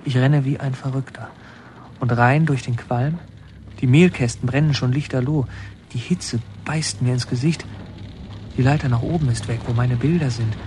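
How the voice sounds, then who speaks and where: Man acting as haunted narrator in a radio play, mellow dark voice, mid pitch, confiding and intense. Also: steady tone, normal speed, slight background noise, natural voice. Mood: urgent. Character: reflective male narrator haunted by his secret picture hoard. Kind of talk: literary first-person radio drama narration.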